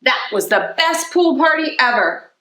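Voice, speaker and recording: A woman voicing excitable schoolchildren from a picture book, exclaiming in a sing-song way. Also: high-pitched, a little slow, echoey room, voice pushed up, full character voice, rough recording. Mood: excited. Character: excitable, loud schoolkids calling out at play.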